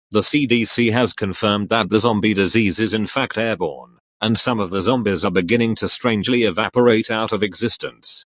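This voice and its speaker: Man playing male news anchor, low-pitched, measured, earnest, scripted bulletin, no room echo. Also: a bit bright, lightly acted.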